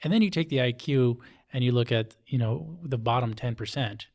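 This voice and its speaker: A man's voice: mid-range voice, normal speed, a little mellow. A man with an American accent chatting casually as an interview guest, in blunt, colloquial language. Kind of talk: casual conversation.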